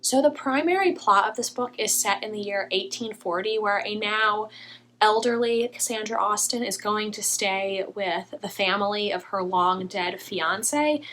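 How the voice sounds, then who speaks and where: A young woman, a little hoarse, chatting eagerly about books as a vlogger. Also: medium pitch, fairly bright tone, slight background noise, poor audio. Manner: chatty, enthusiastic, quick asides. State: slightly hoarse. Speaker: book vlogger. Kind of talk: casual book haul vlog.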